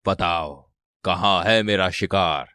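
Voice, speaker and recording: A man's voice: low-pitched, slow, pushed and bright, no echo, clean studio sound, full character voice. A man voicing a menacing, pompous cartoon lion king with a lordly, imperious manner. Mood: pleased, greedy. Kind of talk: animated moral-story cartoon voice acting.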